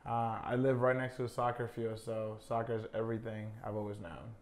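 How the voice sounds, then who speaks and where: Young man from Honduras, flat voice. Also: fairly low pitch, fairly quick. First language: Spanish. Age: young adult. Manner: relaxed, many fillers like "I mean" and "uh". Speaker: college soccer player, guest. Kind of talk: casual interview.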